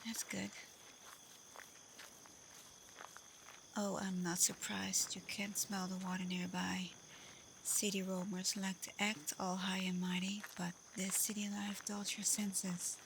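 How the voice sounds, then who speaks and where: Woman acting as female werewolf alpha, ASMR roleplay, soft half-whisper, even pitch, unhurried, confident, teasing, night insects behind. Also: creaky, noisy background. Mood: casual, inviting. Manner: calm, unhurried, gently teasing. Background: crickets, night forest ambience.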